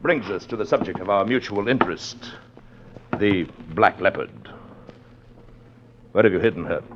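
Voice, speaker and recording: A deep, grandiloquent villain, an aristocratic big-game hunter who is formal and menacing. It comes from an old radio drama, with a dull band and some noise. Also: male voice, lively intonation, normal speed. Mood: smooth menace. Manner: florid, formal, grandiloquent speech. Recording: muffled, noisy old radio recording.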